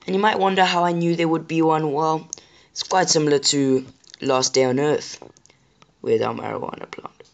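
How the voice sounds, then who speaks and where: Chatty gamer with a sore throat. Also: male voice, on the high side, steady tone, moderate pace, gravelly, dull audio. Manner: chatty, apologetic, addresses viewers directly. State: sore throat, voice a bit rough. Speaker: gaming channel host. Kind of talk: casual gameplay commentary.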